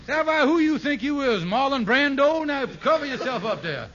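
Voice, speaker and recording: An actor playing a blustering, wisecracking henpecked husband with folksy grammar and a grumbling manner in a 1950s radio comedy. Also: male voice, very high-pitched, sing-song, normal speed, fairly bright tone, heavily acted. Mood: indignant. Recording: muffled, very noisy old radio recording.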